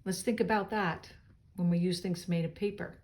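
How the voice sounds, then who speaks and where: A woman reading a children's story aloud expressively, pausing for simple asides to young listeners. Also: lowish voice, fairly even intonation, darkish tone. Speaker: storybook reader.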